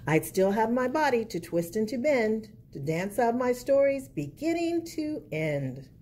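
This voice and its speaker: A woman reads a picture book to children in an expressive storyteller's style. Her pitch moves freely over a mellow, rounded tone. A little background noise sits behind her voice. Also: unhurried. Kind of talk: read-aloud picture book narration.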